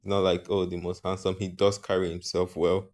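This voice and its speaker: Brief: deep-voiced man from Africa, vlog host, loose and rambling, trips over himself. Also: monotone, brisk, a little mellow. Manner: rambling, hesitant, often corrects himself. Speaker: channel host. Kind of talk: casual opinion vlog.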